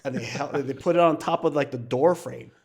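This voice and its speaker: A man's voice: mid-range voice, fairly quick. A man co-hosting a casual podcast, talking reflectively and often checking in with his listeners. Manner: conversational, peppers talk with check-in questions. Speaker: podcast co-host.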